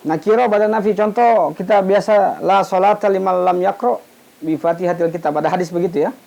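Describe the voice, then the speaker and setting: High-pitched man teaching. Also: brisk, creaky, a little mellow, muffled recording. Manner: didactic, asks questions then answers them; often repeats and completes half-said words for listeners. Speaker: Islamic studies teacher. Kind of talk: explanatory religious lecture, informal.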